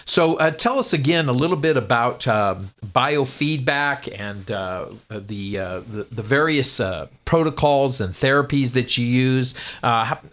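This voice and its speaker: A man's voice: medium pitch, expressive, unhurried, hardly any pauses, fairly bright tone. A man moderating a radio interview, introducing and questioning his guest in a somewhat hesitant manner.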